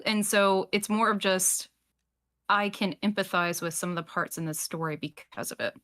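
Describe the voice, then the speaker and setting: A woman's voice: mid-range voice, moderate pace, fairly bright tone. A woman chatting casually as a podcast panel guest, in informal and somewhat tentative phrasing. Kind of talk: casual chat.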